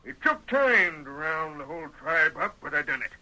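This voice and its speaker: Crusty old Western patriarch, sharp-edged voice. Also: male voice, a little high, very animated, full character voice. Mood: grim recollection. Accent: American Western. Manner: folksy, cantankerous, deliberate storytelling. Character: crusty old Western rancher, bossy, shrewd family patriarch. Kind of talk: old-time radio Western acting. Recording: thin, tinny old radio recording.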